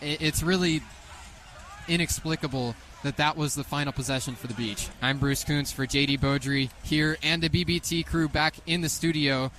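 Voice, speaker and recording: A man in his twenties calling basketball play-by-play live on the radio in an excitable manner. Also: fairly high pitch, normal speed. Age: 20s. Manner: excitable, rising sharply on big plays. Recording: live sports radio, faint background noise.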